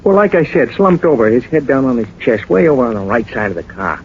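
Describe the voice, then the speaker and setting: An actor playing a brash, showy, declamatory carnival barker in a 1940s radio drama. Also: male voice, medium pitch, big pitch swings, quick pace. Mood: subdued, helpful. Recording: muffled, noisy 1940s radio broadcast recording.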